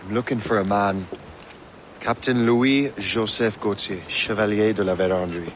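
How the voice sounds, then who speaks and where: An actor playing a cocky, determined adventurer and sea captain with a dry delivery in a video game. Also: male voice, lowish voice, fairly even intonation, normal speed, slight background noise, lightly acted. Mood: firm, courteous. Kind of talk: video game cutscene acting.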